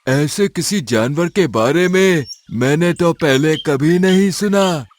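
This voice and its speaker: An actor voicing a brash, curious male bear in a cartoon, speaking in a loud, excited way. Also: a little high, takes it slowly, no echo. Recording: cartoon with music behind.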